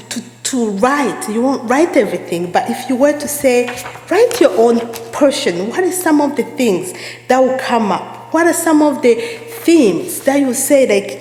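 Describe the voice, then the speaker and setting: A woman's voice: a little high, a little mellow. A woman from Rwanda giving an earnest sermon as a guest speaker, repeating phrases for emphasis. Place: church sanctuary. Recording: echoey church hall.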